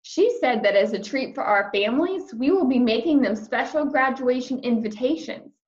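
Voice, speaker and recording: A woman reading a children's chapter book aloud in an expressive storytelling style. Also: high-pitched, moderate pace, highs cut off. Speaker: storybook reader.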